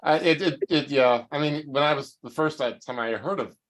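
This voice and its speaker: A man's voice: a little high, normal speed. A man in his seventies chatting casually in a group and joking about his age. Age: 70s. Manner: joking, self-deprecating. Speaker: panelist.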